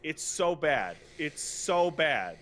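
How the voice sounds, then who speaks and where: Bright, high-pitched man ranting casually. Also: very animated. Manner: exasperated, emphatic, with drawn-out stresses for effect. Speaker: podcast co-host and game streamer. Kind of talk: casual comedic rant about video games.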